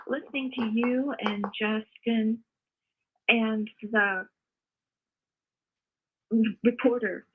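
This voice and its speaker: An older woman calling in by phone to give her opinion, halting and earnest, often repeating herself. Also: fairly high pitch, steady tone, slightly rough. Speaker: caller, mother of the host. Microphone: phone call. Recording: muffled, narrow phone call audio.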